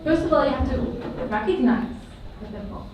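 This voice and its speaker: A woman's voice: high voice, expressive, fairly quick. Hesitant woman asking from the audience. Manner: tentative, hesitant. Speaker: audience member asking a question. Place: audience of an arts venue hall. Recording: echoey hall, distant, noisy.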